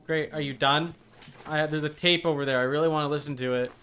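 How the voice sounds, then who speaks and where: A man's voice: a little high, steady tone, fast, pushed and bright. A man streaming a video game with casual commentary, muttering asides to the characters as he plays. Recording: faint background game noise.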